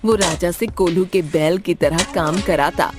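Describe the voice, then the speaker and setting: A woman's voice: fairly low pitch, measured pace. A woman narrator reading a scripted moral story for a cartoon. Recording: music behind the voice.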